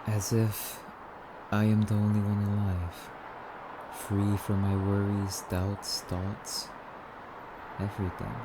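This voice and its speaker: Man playing a slow, low-voiced courtly vampire. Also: completely flat delivery, background noise. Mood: dreamy, musing. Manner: slightly archaic, musing, haughty yet polite. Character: courtly, wistful male vampire, hungry and teasing. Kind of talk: ASMR roleplay monologue addressed to listener.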